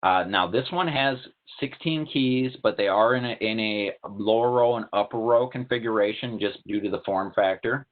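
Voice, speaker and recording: A man's voice: fairly low pitch, normal speed, few pauses. A man giving a technical product presentation in a plain, methodical, explanatory way, as a webinar presenter. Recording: tinny narrowband call-like audio.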